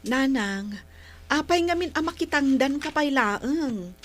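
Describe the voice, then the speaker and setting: A woman's voice: high-pitched, animated, takes it slowly. An actress playing an eager, dutiful grown daughter and mother with an Ilocano accent in a radio drama. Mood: concerned. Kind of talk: Philippine radio drama acting.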